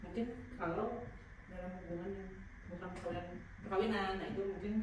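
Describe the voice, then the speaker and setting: A woman's voice: on the low side, steady tone, fast. A woman being interviewed who answers hesitantly, thinking aloud. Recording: distant echoey room with noise.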